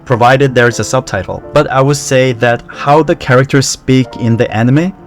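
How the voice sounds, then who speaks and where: Young man with a Korean accent, relaxed. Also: medium pitch, moderate pace, faint music behind. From South Korea. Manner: relaxed, conversational, with fillers like "you know" and "like". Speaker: language learning vlogger. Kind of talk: casual talk to camera.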